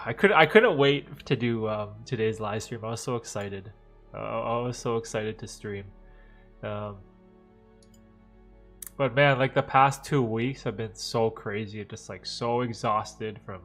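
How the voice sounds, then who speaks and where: A man in his thirties hosting a live question-and-answer stream, talking in a relaxed, rambling way with lots of "like" and "right?", his voice a little nasal and stuffed up from allergies. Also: mid-range voice. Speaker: livestream host answering viewer questions. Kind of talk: casual live Q&A chat.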